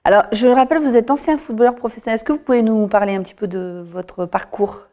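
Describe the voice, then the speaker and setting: Casting: local news anchor, woman, quick delivery, mellow tone. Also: a little high. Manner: brisk, scripted questions. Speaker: TV news presenter. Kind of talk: TV interview hosting. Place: TV studio.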